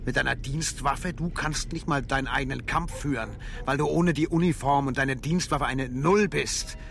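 A man's voice: mid-range voice, normal speed, gravelly, fairly bright tone, a little hiss or hum. An actor playing a furious, shaken man in a radio drama, intense and bitter in delivery. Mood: bitter defiance. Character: Frank, furious, shaken neighbour, defiant and anguished.

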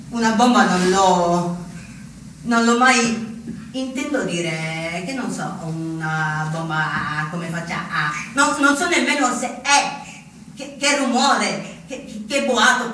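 An actor playing an agitated, furtive neo-fascist in a halting theatrical monologue, repeating himself insistently. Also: male voice, high voice, pushed and bright, heavily acted. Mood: halting, unsure. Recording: echoey distant stage recording, faint noise.

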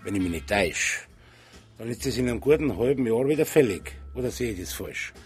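An actor playing a sly police detective in a radio drama, pointedly polite, feigning innocence while quietly threatening. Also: male voice, medium pitch, animated, a little fast, faint music behind, close to an everyday voice. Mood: slyly menacing. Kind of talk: naturalistic radio drama acting.

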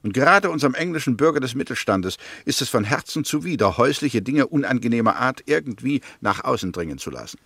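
Sceptical English case analyst for a 1960s radio drama: mid-pitched, gravelly creak, deliberate and precise, dry studio sound. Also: male voice, very animated, fairly bright tone, dry recording, full character voice. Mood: sceptical, insistent. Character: Mr. Hämmerte, probing, sceptical Englishman arguing a murder case. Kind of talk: 1960s German radio play, measured narration and debate.

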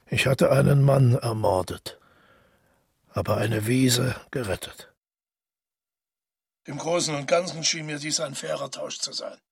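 An actor playing a weary, solitary murderer who calmly recalls his deed in a reflective radio drama monologue. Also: mid-range voice, a little slow, pauses often, dry recording, studio quality, exaggerated voice. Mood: brooding, matter-of-fact. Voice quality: husky, gravelly.

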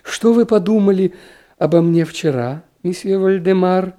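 Man voicing a teasing young princess, lifted pitch. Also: unhurried, dark tone. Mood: candid, playful. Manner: teasing, self-assured. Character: playful, candid young princess of twenty-one.

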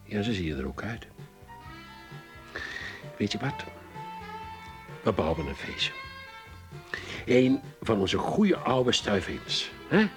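Jan, a slow, wildly swooping husband. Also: male voice, on the low side, sing-song. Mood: coaxing, jovial. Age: middle-aged. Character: Jan, sociable middle-aged husband of a drinking wife. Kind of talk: radio drama acting. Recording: music behind the voice.

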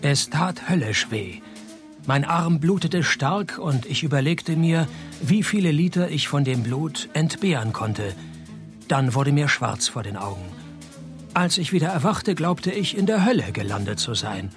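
A laconic, cynical private eye in a radio detective play. His voice sits a little high for a man, and he delivers narration and retorts with dry, deadpan flatness. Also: a little slow, soft background music. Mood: deadpan narrating. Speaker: narrator and lead actor.

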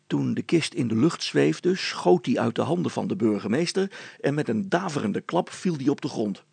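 A male storyteller reading a children's tale in a dramatized way. His voice is mid-pitched, husky and full of creak, recorded very dry. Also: expressive, moderate pace, darkish tone, no echo. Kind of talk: dramatized children's audiobook narration.

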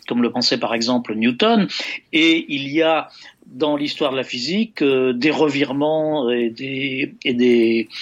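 A man's voice: on the high side, fairly bright tone, lo-fi sound. A man, a physicist guest on a radio show, explaining ideas carefully and searching for words.

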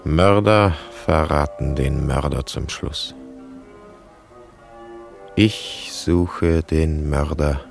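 Very deep, slow, haunted wanderer. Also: male voice, creaky, soft background music, heavily acted. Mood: solemn, brooding. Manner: solemn, halting. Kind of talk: poetic radio drama acting.

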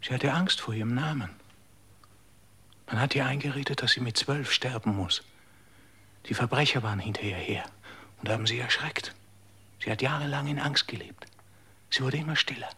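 An actor in a radio drama playing a distraught man who searches for his hidden little sister, talking in hushed whispers. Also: medium pitch, moderate pace, long pauses, husky. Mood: hushed, dazed.

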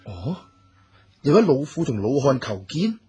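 A homesick scholar-official in a Cantonese radio drama. His voice is very husky and mid-pitched, with lively swings as he speaks in formal, sighing, courteous phrases. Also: unhurried, exaggerated voice. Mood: musing, puzzled. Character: courteous, homesick scholar-official, newly crowned top examinee.

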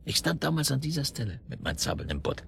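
An actor in a radio drama playing a superstitious island fishmonger, ominous and insistent, repeating words for emphasis. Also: male voice, medium pitch, very animated, fast talker, creaky, a bit bright, exaggerated voice. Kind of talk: German radio drama acting.

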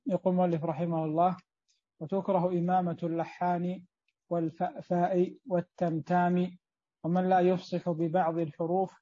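High flat-voiced man reading a text. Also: no echo, highs cut off. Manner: slow, measured recitation in formal phrasing. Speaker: student reader. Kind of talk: reading a classical text aloud.

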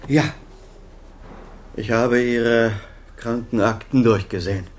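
A stern older male chief physician played by an actor in a radio crime drama. He has a medium-pitched voice and talks curtly, with cold, reproachful authority. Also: steady tone, slow, natural voice. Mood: grave.

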